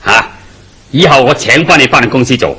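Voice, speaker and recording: An actor playing a widow's grown son, an inexperienced young heir, in a Hong Kong Cantonese radio drama. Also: male voice, medium pitch, brisk, pushed and bright, husky. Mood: self-important.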